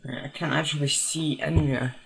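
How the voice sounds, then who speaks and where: Male hobbyist restorer, fry-laden voice, hesitant workbench narration; rough, noisy home recording. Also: fairly high pitch, fairly even intonation, moderate pace. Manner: hesitant, thinking aloud while tinkering. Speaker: hobbyist restorer hosting a video. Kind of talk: casual unscripted workbench commentary.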